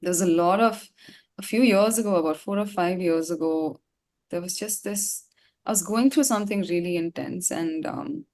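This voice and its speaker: A woman's voice: on the low side, a little mellow. A woman from India, a musician, telling a personal story in an interview in a candid, reflective way.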